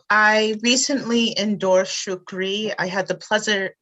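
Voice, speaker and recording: A woman legislator gives a short, earnest endorsement speech at a meeting. Her voice sits on the low side. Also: fairly bright tone. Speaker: state representative.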